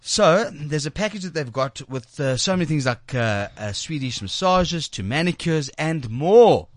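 A man's voice: fairly high pitch, animated, normal speed, dry recording, clean studio sound. A South African man hosting a radio show, chatting informally and reading sponsor plugs in an effusive, jokey way, often repeating himself. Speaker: radio host. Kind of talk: informal chat and live sponsor reads.

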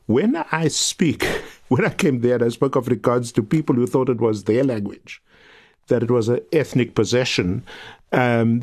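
A middle-aged South African man, a guest professor, telling his story in a reflective, earnest way with frequent restarts. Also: mid-range voice. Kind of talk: podcast interview.

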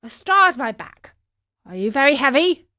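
A woman voicing a large, helpful male pigeon in a storybook, speaking in a measured, matter-of-fact, inquiring way. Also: high-pitched, sing-song, bright, forceful tone, no room sound, studio quality, pitched above the performer's own voice, heavily acted. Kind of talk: storybook character voice.

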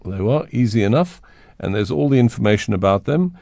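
A man's voice: mid-range voice, expressive, fast talker, vocal fry, dark tone, no room sound. A man hosting a radio interview show, chatting with his guest in the studio. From South Africa. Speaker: radio host. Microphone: studio microphone.

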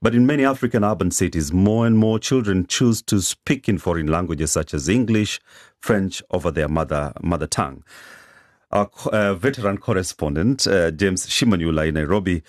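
A man from Uganda co-hosting a radio news magazine in a studio, relaxed and easygoing. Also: deep voice, moderate pace, no room sound. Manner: relaxed, laughs easily, reads comments. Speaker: radio co-host.

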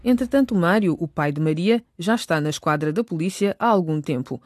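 A woman's voice: on the low side, expressive, brisk, darkish tone, no echo, clean studio sound. A woman narrating a radio drama in a serious, scripted storytelling style. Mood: measured.

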